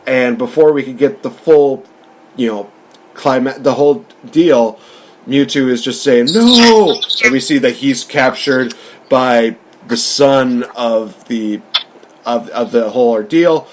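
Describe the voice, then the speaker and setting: Mid-pitched man recapping, faint background noise. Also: slow, poor audio. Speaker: podcast co-host. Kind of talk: casual movie recap and commentary.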